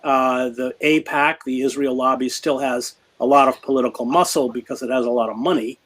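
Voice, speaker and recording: A man answering an interviewer's questions as a journalist guest, speaking in a grave, measured way with many fillers and restarts. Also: medium pitch, fairly even intonation, fairly bright tone.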